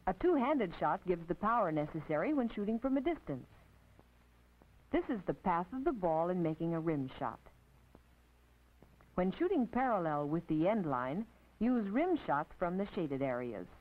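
American woman, instructional narrator, thin band-limited old film sound, expressive pitch movement, measured scripted phrasing, precise teacherly delivery. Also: phone-quality sound. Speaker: narrator and physical education instructor.

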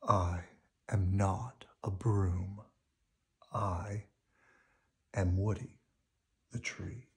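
A man voicing a gentle, friendly female talking tree in a storybook, with earnest, drawn-out speech. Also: low-pitched, steady tone, exaggerated voice. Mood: proud.

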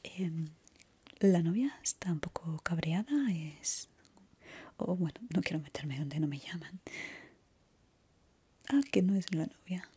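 A young woman whispers very breathily, right up at the microphone. Her voice is mellow and fairly low, with hardly any solid tone. She speaks gently and reassuringly, like a chatty barber in an ASMR roleplay. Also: slow pace, pauses often, husky. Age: young adult. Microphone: close ASMR microphone.

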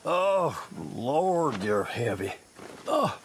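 High-pitched, drawling frontier undertaker. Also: male voice, sing-song, extremely slow, a little hiss or hum, exaggerated voice. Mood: straining. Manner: drawling, folksy. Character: folksy, morbid old frontier undertaker, wary but mercenary. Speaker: undertaker character in a western video game. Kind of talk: western video game acting.

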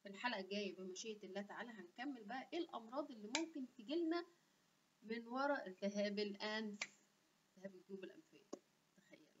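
A woman's voice: mid-range voice, animated, very fast, background noise. A young woman with an Egyptian Arabic accent hosting a casual health vlog, talking animatedly and chatty with asides, recorded on a webcam in a small room. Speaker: health channel host. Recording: dull lo-fi webcam audio.

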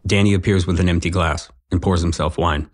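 A man's voice: sits low, fairly even intonation, brisk, a little hiss or hum. A man reading scripted stage-direction narration for an audio drama.

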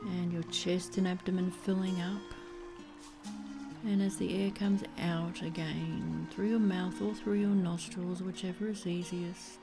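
Woman doing a guided meditation, really slow n gentle, soft mellow voice, faint music behind her. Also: on the low side. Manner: soothing, gentle, unhurried, with pauses between phrases. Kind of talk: guided body scan meditation.

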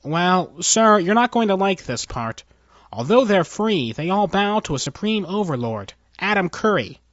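A man puts on a nervous alien technologist for a science fiction tale. The voice is high, swooping and deferential, full of hesitation. It is recorded very dry. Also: sing-song, unhurried, fairly bright tone, no echo, muffled recording, exaggerated voice. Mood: hesitant, deferential. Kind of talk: theatrical science fiction audio story.

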